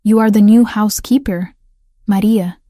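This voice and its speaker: A woman's voice: medium pitch, fairly even intonation, moderate pace, dark tone, no echo, studio quality, natural voice. A woman voicing an older housekeeper with an Italian accent, speaking simple broken English in an instructive way. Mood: instructing.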